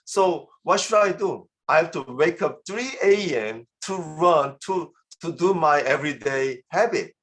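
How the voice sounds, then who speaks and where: A man's voice: fairly high pitch, lively intonation, measured pace, hardly any pauses, a bit bright. Earnest Korean-accented man giving a talk. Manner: earnest, repeats and restarts words, coaxing the audience. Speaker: business seminar speaker. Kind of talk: motivational business talk.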